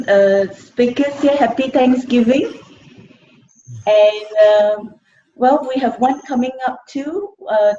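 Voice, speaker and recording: A woman's voice: on the high side, mellow. A woman with a Malaysian accent making casual impromptu remarks, hesitant and repeating her words. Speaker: meeting participant. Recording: muffled, echoey, noisy video call.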